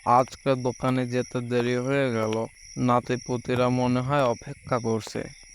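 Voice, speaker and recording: Old grandpa done by a guy telling spooky cartoon stories, real slow, bit of cricket noise behind. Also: medium pitch, little pitch movement, natural voice. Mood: apologetic. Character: old village grandfather telling ghost stories to young men. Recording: noisy, with night crickets behind.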